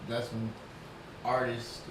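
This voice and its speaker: A man's voice: medium pitch, monotone, takes it slowly, crowd noise. A middle-aged man, chatting casually as an interview guest in slangy, repetitive phrasing. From Philadelphia and New York, United States. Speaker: guest, barber and product CEO. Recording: echoey room with loud background noise.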